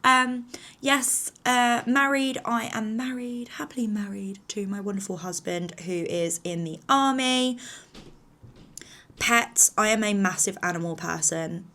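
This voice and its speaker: A woman's voice: mid-range voice, steady tone, a little slow, bright, forceful tone. A woman in her thirties from England, chatting casually to camera in a rambling, slightly nervous way with lots of fillers. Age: 30s. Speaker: vlogger.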